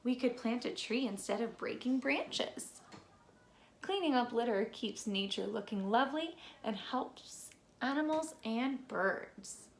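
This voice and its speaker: Female storybook reader, expressive and deliberate, unhurried delivery, echoey recording with faint background noise. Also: fairly high pitch, gravelly. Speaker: read-aloud presenter. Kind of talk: expressive children's storybook read-aloud.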